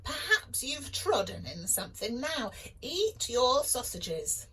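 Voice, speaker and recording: A woman voicing a kindly, fussy giant's wife who brushes off her husband in a high, brisk voice. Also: very animated, fairly bright tone, a little hiss or hum, heavily acted, low-quality recording. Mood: chiding.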